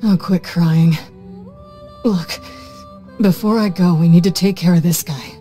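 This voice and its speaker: A woman's voice: lowish voice, steady tone, measured pace, dark tone, faint music behind, natural voice. An actress playing a tough, resolute young woman warrior in a video game, blunt and weary. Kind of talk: dramatic video game voice acting.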